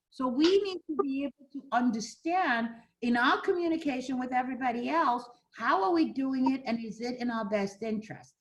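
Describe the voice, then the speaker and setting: A woman's voice: a little high, low-quality recording. A woman from Ghana teaching a fair housing class for realtors, role-playing buyers and agents as she talks. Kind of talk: interactive training class.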